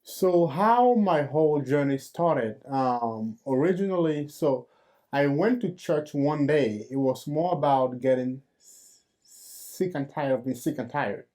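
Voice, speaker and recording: A man, hosting and giving his own faith testimony in an earnest, halting storytelling manner with fillers and restarts. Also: a little high, lively intonation, dark tone. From Cameroon. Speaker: host.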